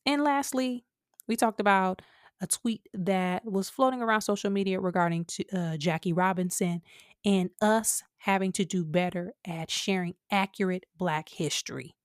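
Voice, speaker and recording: A woman's voice: medium pitch, normal speed, dry recording. Emphatic American woman podcaster, dry close sound. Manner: emphatic, colloquial, direct address to listeners. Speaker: podcast host. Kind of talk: casual opinionated podcast commentary.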